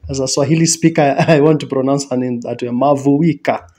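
A man's voice: medium pitch, fairly even intonation, fairly quick, mellow, slight background noise. A man in his thirties with a Kenyan accent, chatting casually as a reaction host, easygoing and chuckling. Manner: easygoing, gestures a lot, chuckles. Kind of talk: casual chat. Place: small indoor room. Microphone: headphones and desk mic.

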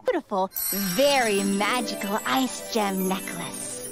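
An actress voicing a dainty, friendly young ice genie in a children's cartoon, with a bubbly, dramatic manner. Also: female voice, mid-range voice, slow pace, over music. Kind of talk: animated children's cartoon acting.

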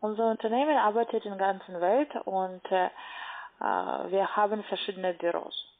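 Female call centre agent, non-native speaker, halting delivery, medium pitch, narrowband phone sound. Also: gravelly. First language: not German. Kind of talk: phone sales call, step-by-step instructions. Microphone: telephone. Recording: muffled narrowband phone line.

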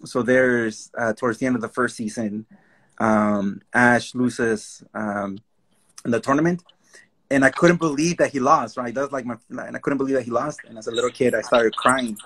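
Man, husky voice, panel host, relaxed storyteller, video call from home. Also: mid-range voice, normal speed, rough recording. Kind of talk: casual panel discussion. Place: room at home, indoors.

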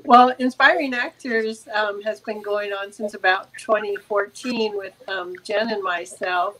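A woman's voice: medium pitch, fairly bright tone, slight background noise, rough recording. An older woman, a guest on a video call, explaining her acting program in a friendly way. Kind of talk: casual video call chat.

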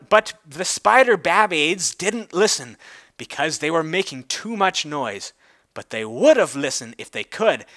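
Male narrator, bright and forceful, highly varied pitch, mock-dramatic storybook delivery.

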